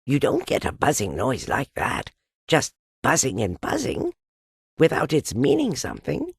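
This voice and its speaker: A woman voicing a simple, slow-thinking male storybook bear with a growly voice and a naive, ponderous manner. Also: extremely low pitch, wildly swooping pitch, vocal fry, husky, no room sound, studio quality, pitched above the performer's own voice, full character voice. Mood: pondering. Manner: ponderous, naive reasoning aloud. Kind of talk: children's storybook character reading.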